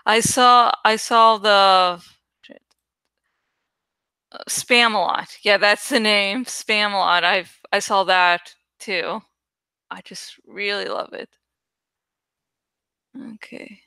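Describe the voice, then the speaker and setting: A woman's voice: mid-range voice, fairly even intonation, slow, long pauses, pushed and bright, clear, resonant tone, no echo. A woman chatting casually and thinking aloud while she works, rambling, swearing and sometimes searching for words. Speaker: online creator and host. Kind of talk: casual rambling chat while working on a graphic.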